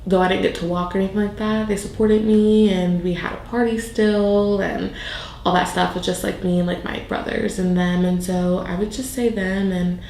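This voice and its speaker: A woman's voice: mid-range voice, level tone, normal speed, vocal fry, a little mellow, echoey room, a little hiss or hum, low-quality recording. A young woman in her twenties from South Carolina, answering interview questions in a chatty way with many fillers. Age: 20s.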